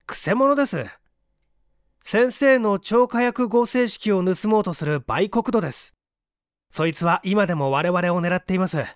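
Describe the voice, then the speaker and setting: A man's voice: sits high, dry recording, clean studio sound. Man acting an urgent, earnest young hero. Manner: urgent, polite, emphatic. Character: earnest, quick-witted young male assistant turned bold accuser. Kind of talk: dramatic audiobook character reading.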